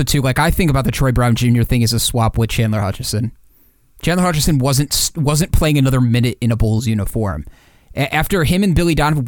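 A man's voice: mid-range voice, no room sound, clean studio sound. A man co-hosting a sports podcast in casual, slangy, emphatic talk with rhetorical questions.

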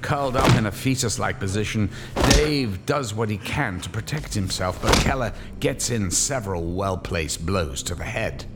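A man's voice: lowish voice, animated, moderate pace, hardly any pauses. A man giving dramatic scripted narration for an audio drama. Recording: long reverb, music bed behind. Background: music.

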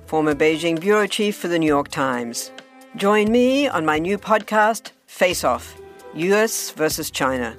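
A female journalist reading a scripted promo for her podcast in a measured, earnest way. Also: on the low side.

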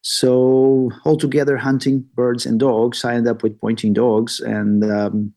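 A man from Portugal with a Portuguese accent, answering interview questions in halting English as he searches for words. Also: medium pitch, level tone, dark tone. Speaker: podcast guest, bird hunter. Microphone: remote call.

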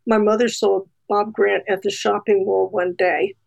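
A woman's voice: mid-range voice, little pitch movement, normal speed, vocal fry, a little mellow. A woman from New Jersey, a documentary filmmaker, telling a personal story in a candid, chatty way with many fillers. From New Jersey, USA. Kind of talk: casual interview storytelling.

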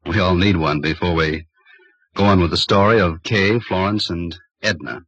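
An actor playing a solemn, ominous host narrating an old-time radio horror show in a deliberate, theatrical way. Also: male voice, deep voice, steady tone, a bit bright, slightly rough, no room sound. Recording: dull old radio recording.

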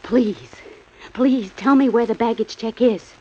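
An actress playing a scheming young woman begging her lover in a 1940s radio drama, pleading and breathy. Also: on the high side, a little slow, a little mellow, slight background noise, lightly acted. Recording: dull old radio recording.

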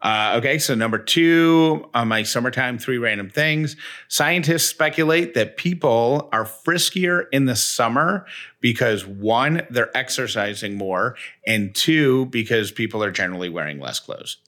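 A man's voice: mid-range voice, animated, a little slow, bright, forceful tone. A middle-aged man co-hosting a radio show, moving between casual banter and host-read material.

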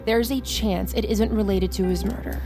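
Casting: defiant young female recruit, played by a woman, mid-pitched, sarcastic and blunt, audio drama with a clear music bed. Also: steady tone, moderate pace, close to an everyday voice. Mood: scornful, sarcastic. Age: young adult. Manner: snarky, mocking, blunt. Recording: music bed under the dialogue.

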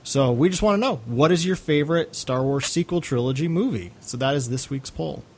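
A man's voice: a little high, fairly quick, a little hiss or hum. A man co-hosting a podcast in casual, rambling, hesitant chat. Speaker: podcast co-host.

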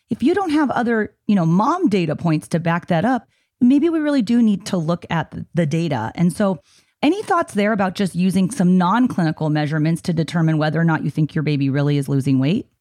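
Woman host, chatty, lively pitch, warm tone, dry close mic. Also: no echo. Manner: chatty, relays a listener's question, then gives her own advice. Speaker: podcast host. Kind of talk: conversational podcast interview.

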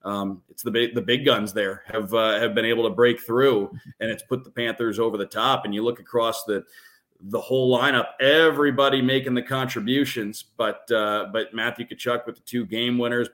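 A man's voice: mid-range voice, flat delivery, moderate pace, fairly bright tone. A man talking as a sports broadcaster and podcast guest, analysing games and building his points step by step with emphatic repetition. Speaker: radio play-by-play announcer, podcast guest.

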